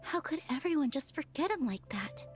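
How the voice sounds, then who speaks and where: A woman's voice: sits high, animated, brisk. A woman playing a courteous, concerned fairy-tale friend in a video game, speaking in a formal manner. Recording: faint music behind.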